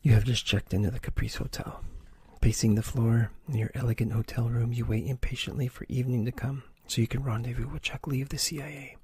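A man reading an adventure gamebook aloud in a soft, breathy ASMR whisper, stopping to choose pages. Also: medium pitch, fairly even intonation, fast talker, hardly any pauses, no echo. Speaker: reader of a choose-your-own-adventure book.

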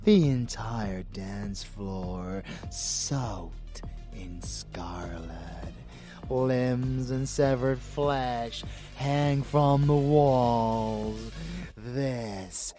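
Sadistic vampire boyfriend for asmr roleplay, super slow theatrical delivery, music behind him. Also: male voice, mid-range voice, dark tone. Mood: adoring, intimate. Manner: theatrical, drawn-out, adoring and menacing. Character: rebellious murderous male vampire lover, gleefully sadistic and possessive. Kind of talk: ASMR roleplay audio drama.

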